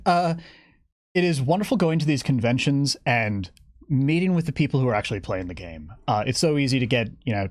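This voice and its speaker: A man's voice: medium pitch, no echo, clean studio sound. A man speaking as an interview guest, explaining his work as a game developer in a casual, enthusiastic way.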